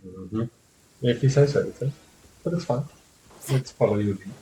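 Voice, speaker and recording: A man's voice: mid-range voice, normal speed, warm tone. A man streaming a game live, reacting casually in brief, laid-back remarks. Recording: roomy live-stream mic with faint noise.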